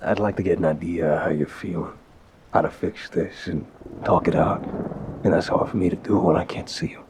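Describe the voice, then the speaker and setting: A man playing a soft-spoken, apologetic mafia boss boyfriend in an intimate ASMR roleplay, hesitant and gentle, with a low, husky, breathy voice. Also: steady tone, noisy background, lightly acted. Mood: pleading, sorry. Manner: hesitant, gentle, sighing pauses. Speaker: audio roleplay performer. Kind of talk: ASMR audio roleplay, one-sided intimate phone call.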